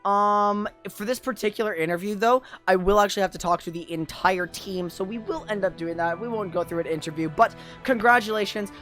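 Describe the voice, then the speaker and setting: A tournament host on a livestream with a medium-pitched voice that is going from long hours of talking. The delivery is casual and appreciative, aimed straight at viewers. Faint music plays behind the voice. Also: a little fast, hardly any pauses, a bit bright. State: losing voice after two days of talking. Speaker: tournament host and streamer caster. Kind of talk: casual esports livestream wrap-up, chatting with viewers.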